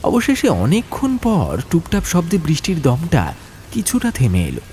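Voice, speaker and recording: A man narrating a horror audiobook as a storyteller, with suspenseful, atmospheric delivery and deliberate phrasing. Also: on the high side, wildly swooping pitch, warm tone, slight background noise.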